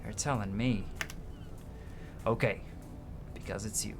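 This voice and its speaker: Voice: mid-range voice, steady tone, a little slow, gravelly. A game streamer reading dialogue aloud and commenting casually, playful and slangy, with hammy readings. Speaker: streamer, host of a gaming show. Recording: game music behind the voice. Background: video game music.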